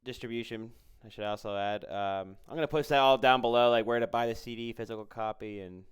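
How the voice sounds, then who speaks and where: A man's voice: mid-range voice, steady tone, brisk, smooth. A man hosting a casual interview, speaking informally and supportively with his guest. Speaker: podcast host, interviewer.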